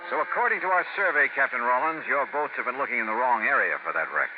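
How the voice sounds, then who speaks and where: An actor playing a brisk, hardboiled insurance investigator in a 1950s radio drama, clipped and businesslike. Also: male voice, medium pitch, expressive, heavy background noise, natural voice. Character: brisk hardboiled freelance insurance investigator, narrating his case. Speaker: lead actor and narrator. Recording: muffled old radio, loud music.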